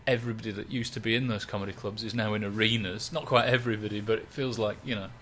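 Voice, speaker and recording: A man, a stand-up comedian chatting in a relaxed, rambling, self-mocking way. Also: lowish voice, fast talker, slight background noise. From West Yorkshire, England. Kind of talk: relaxed interview chat.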